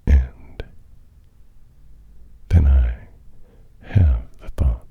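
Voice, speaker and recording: A man speaks an improvised ASMR monologue in a very deep, hushed voice that mostly sits in a whisper. He moves extremely slowly, setting down short, deliberate phrases with long gaps between them. The recording is dull and muffled. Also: husky. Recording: dull, muffled audio with faint noise.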